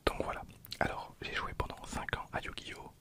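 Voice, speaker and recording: Male, 20s, ASMR host. Fully whispered, gentle, halting delivery with repeated phrases. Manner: soft, hesitant, repeats words and phrases. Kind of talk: whispered ASMR card-opening commentary.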